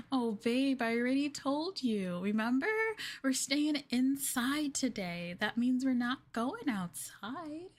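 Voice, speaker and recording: Slow, coy, possessive girlfriend played by a woman. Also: high-pitched, animated, exaggerated voice. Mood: coaxing, possessive. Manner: coy, hesitant, giggly, soft coaxing. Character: clingy, sweetly possessive yandere girlfriend, young woman. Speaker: streamer performing ASMR roleplay. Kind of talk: ASMR girlfriend roleplay, improvised.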